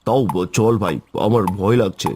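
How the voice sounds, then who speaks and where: A man's voice: lowish voice, fairly even intonation, normal speed, noisy background, lightly acted. A man voicing a timid, easily frightened young man who pleads anxiously in a cartoon horror story. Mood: nervous.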